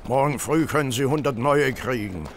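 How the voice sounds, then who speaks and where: A man acting a surly, irritable London poultry dealer in an audio drama, gruff and combative. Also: mid-range voice, moderate pace, a little hiss or hum, natural voice. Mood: curt.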